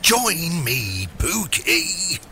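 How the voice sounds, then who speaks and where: Megalomaniac doting father played by a man, bright and wildly animated, wheedling, theatrical, comedy radio play. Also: on the high side, very slow, slight background noise, heavily acted. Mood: gleeful, wheedling. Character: gleeful megalomaniac villain, doting scheming father. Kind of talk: scripted audio comedy drama.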